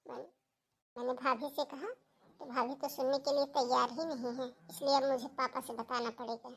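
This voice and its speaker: A woman's voice: sits high, level tone, moderate pace, gravelly, breathy edge, full character voice. A woman voicing a tearful, earnest young schoolgirl in a cartoon family melodrama, pleading plaintively.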